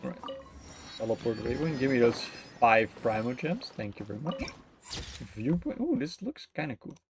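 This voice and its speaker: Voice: medium pitch, very animated, moderate pace, noisy background. A game streamer giving casual live commentary, mumbling in a playful, slangy way.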